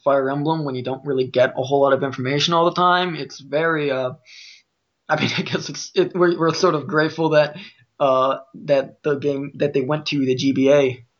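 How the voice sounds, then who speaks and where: A man's voice: a little high, steady tone, moderate pace, a bit bright, lo-fi sound. A man with a Midwestern American accent talking casually as host of a podcast discussion. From Midwest United States.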